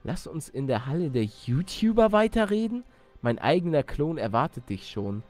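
A man voicing a calm, self-assured mad professor in a video game, speaking in a knowing, formal, explanatory manner. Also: on the high side, normal speed, faint music behind.

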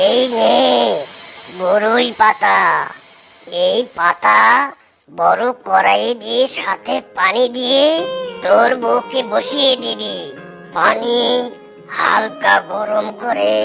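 A performer voicing a solemn male holy healer in a children's cartoon, gravelly, commanding and pausing between phrases. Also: mid-range voice, full character voice. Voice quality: gravelly, creaky. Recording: loud music under the voice. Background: loud music.

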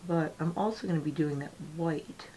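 Makeup vlogger, forties, sniffly, chatty and meandering, a bit of faint room hiss. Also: female voice, sits low, normal speed, mellow, low-quality recording. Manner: chatty, rambling, talks while applying makeup. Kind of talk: casual get-ready-with-me chat. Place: small home room with gaming chair.

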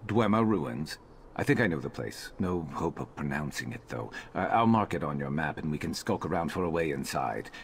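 A man playing a gruff, sardonic rogue adventurer in a fantasy video game, speaking in a dry, terse, conspiratorial way. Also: a bass voice, lively intonation, normal speed, a little hiss or hum. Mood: dry, businesslike. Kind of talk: scripted game dialogue.

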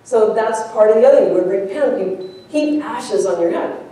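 A middle-aged American woman, a priest, giving a conversational sermon with an informal, animated storytelling manner. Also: a little high, normal speed, recorded from a distance. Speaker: priest, preacher. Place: large church sanctuary, speaking before a congregation. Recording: echoey church, picked up from afar.

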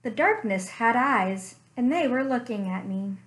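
A young woman reading a picture book aloud for children, slow and expressive with playful sound effects, recorded on a webcam in a small room at home. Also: on the high side. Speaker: children's librarian. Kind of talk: storytime read-aloud.

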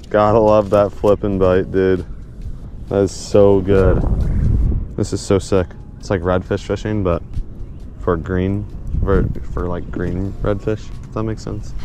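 A young man with a fairly low voice talks excitedly while he fishes from a kayak, laughing and throwing in a lot of "dude." Outdoor noise from the water and the boat is clearly heard under his voice, as picked up by a small camera on the kayak. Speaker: fishing vlogger. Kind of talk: casual running commentary while fishing.

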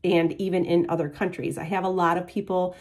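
A woman's voice: fairly low pitch, flat delivery, fairly quick, a little mellow. A woman with a cold and a stuffy, nasal voice, from the United States, chatting casually as she walks through a home cooking video and explains prices step by step. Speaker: keto cooking channel host.

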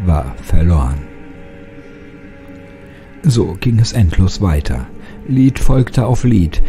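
A man's voice: lowish voice, mellow. A man giving a scripted, dramatic first-person narration of a horror story. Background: music.

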